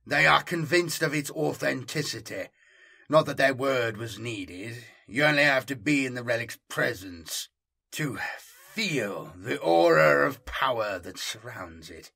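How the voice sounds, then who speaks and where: A man acts out an imperious planetary governor for a science fiction audiobook. He is proud and guarded, with stately, formal speech. His tone is bright and forceful. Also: medium pitch, expressive, measured pace, creaky, breathy edge, exaggerated voice. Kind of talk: audiobook character dialogue.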